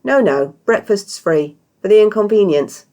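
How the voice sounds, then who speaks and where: A British woman voicing a cheerful, scheming female innkeeper in a storybook, bright and polite with feigned innocence. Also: mid-range voice, animated, measured pace, pitched above the performer's own voice. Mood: bright, guileless.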